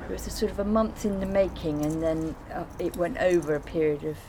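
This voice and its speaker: A woman's voice: on the low side, a little fast, noisy background. A woman in informal conversation, explaining and recollecting in a rambling way. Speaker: artist being interviewed.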